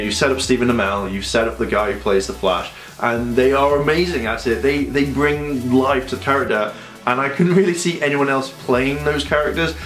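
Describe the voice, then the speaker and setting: Congested man ranting to camera. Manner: animated, rambling, with sudden high-pitched outbursts. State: congested from a cold. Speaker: vlogger. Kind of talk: casual opinion vlog to camera. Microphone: camera on a tripod. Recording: echoey room with faint music.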